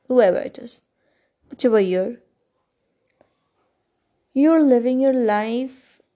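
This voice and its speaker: A woman with an Indian English accent, a Kannada speaker, hosting her own podcast in an earnest, reflective way with frequent pauses and fillers. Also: medium pitch, warm tone. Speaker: podcast host. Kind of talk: solo podcast talk.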